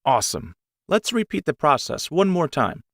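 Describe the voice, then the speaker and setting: A man giving clear, encouraging English lesson instructions in a scripted style. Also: a little high, sing-song, normal speed, a bit bright. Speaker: English teacher.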